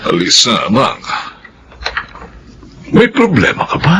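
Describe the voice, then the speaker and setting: A man's voice: medium pitch, huge pitch swings, takes it slowly, music in the background, heavily acted. A man playing a concerned, protective older father in a Filipino radio drama.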